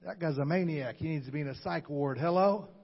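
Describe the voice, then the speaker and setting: A man preaching a sermon, shouting with call-and-response to the congregation. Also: fairly high pitch, fairly quick, gravelly, slightly rough, lo-fi sound. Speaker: pastor. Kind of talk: Pentecostal sermon.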